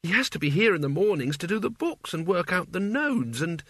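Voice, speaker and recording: A man's voice: high-pitched, sing-song, a little fast, breathy edge, dry recording, studio quality, voice pushed up, heavily acted. A man voicing an anxious, pleading teenage girl with an urgent, tight delivery in an audiobook story. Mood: worried, tight. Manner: urgent, tight-voiced, fretting.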